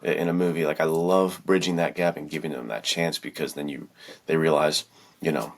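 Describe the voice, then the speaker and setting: A man with a deep voice chats as a podcast host. He is casual and enthusiastic, using loose slang. His manner is encouraging toward listeners. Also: fairly even intonation, brisk.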